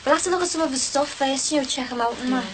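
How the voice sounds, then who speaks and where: An actress playing an earnest, chatty teenage daughter in a television drama. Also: female voice, sits high, racing, background noise, lightly acted.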